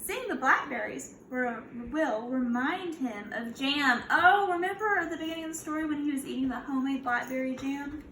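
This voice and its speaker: High-voiced woman reading to young children. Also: normal speed, hardly any pauses, a bit bright. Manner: instructive, prompting listeners with questions. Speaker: kindergarten teacher. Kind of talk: picture-book read-aloud for young children. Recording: echoey room, clear background noise.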